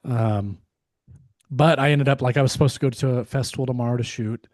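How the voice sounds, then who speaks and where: A man co-hosting a podcast, hoarse and losing his voice, talking in a casual, rambling way with many fillers and restarts. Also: mid-range voice, steady tone, brisk, a little mellow, dry recording, clean studio sound. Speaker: podcast co-host. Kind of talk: casual chat.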